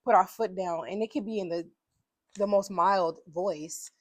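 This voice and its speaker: A woman hosting a podcast conversation, animated and affirming, quick to laugh along. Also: mid-range voice, dry recording.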